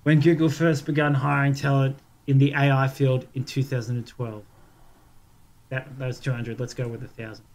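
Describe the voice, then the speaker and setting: A man's voice: medium pitch, flat delivery, normal speed, a little mellow. A man co-hosting a podcast in casual, joking banter, giggly and often restarting his phrases. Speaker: podcast co-host. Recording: clear background noise with clicks.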